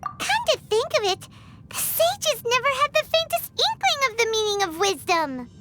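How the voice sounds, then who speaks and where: A woman's voice: very high voice, sing-song, normal speed. A woman voicing a tiny, frank, inquisitive childlike fairy companion in a cartoonish video game style. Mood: puzzled. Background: music.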